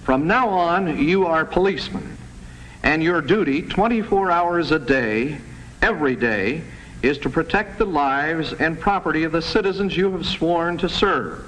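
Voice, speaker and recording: A man with a somewhat high voice delivers a prepared address as a police chief. He is formal, earnest and measured, over the faint noise of an old 1960s film soundtrack. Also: expressive, fairly bright tone, rough recording.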